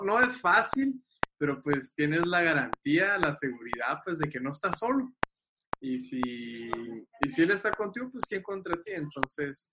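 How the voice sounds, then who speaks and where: A young man talks eagerly, laughing easily and doubling back on his words. His voice sits in a middle register. A loud steady hiss runs under the video-call audio. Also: medium pitch. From Mexico. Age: 20s. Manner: enthusiastic, laughs often, repeats words. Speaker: guest. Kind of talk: casual video-call chat.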